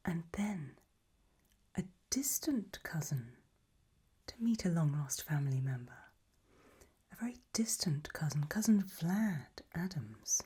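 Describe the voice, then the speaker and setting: A woman playing an elegant, macabre aristocratic lady in a whispered ASMR roleplay, breathy, languid and serenely gracious. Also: deep voice, lively intonation, dark tone, full character voice. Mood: gracious, darkly pleased. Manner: slow, languid, genteel.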